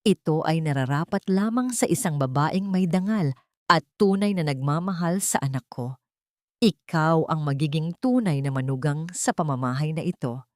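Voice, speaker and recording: A woman's voice: low-pitched, expressive, normal speed, dry recording, clean studio sound. A woman voicing a scheming older mother-in-law in a scripted drama, speaking with sugary, fake kindness. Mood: falsely sweet.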